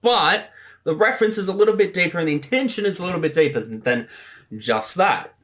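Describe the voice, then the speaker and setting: Guy doing casual commentary on his own series, lively pitch, bright voice. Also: brisk. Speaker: series creator giving commentary.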